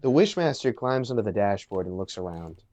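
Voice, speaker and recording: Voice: sits low, big pitch swings, fairly quick, dark tone. A narrator reading stage directions from a script.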